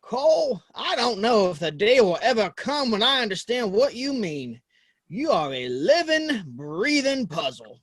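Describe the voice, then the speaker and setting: Casting: male actor as sardonic frontier saloon regular, high voice, swinging intonation, dry wit, audio drama. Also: very animated, measured pace, exaggerated voice. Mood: dry teasing. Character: dry, sardonic Old West townsman, Hank. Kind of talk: Western audio drama acting.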